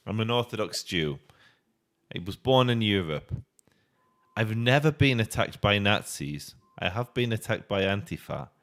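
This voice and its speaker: A man arguing forcefully in a street debate, assertive and quick to push back. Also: fairly low pitch, expressive. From United Kingdom. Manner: assertive, argumentative, calls others bro.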